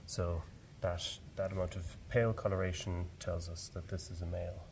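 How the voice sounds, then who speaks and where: Young Irish man, low register, calm field-guide delivery, shed ambience audible. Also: normal speed, vocal fry, background noise. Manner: calm, explanatory. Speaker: barn owl ringer. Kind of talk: explaining fieldwork on camera. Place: open farm shed outdoors.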